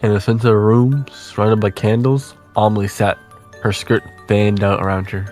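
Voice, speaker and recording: A man with a fairly low voice narrates a visual novel aloud. His phrasing is deliberate and suspenseful, like a dramatic storyteller's, and faint music plays behind him. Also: level tone, a little mellow.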